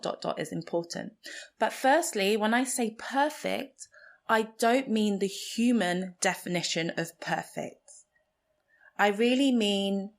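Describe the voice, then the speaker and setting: Slow, reflective Nigerian-born woman. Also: medium pitch. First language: Igbo. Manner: slow, thoughtful, searching for words. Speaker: podcast host. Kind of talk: reflective solo podcast monologue.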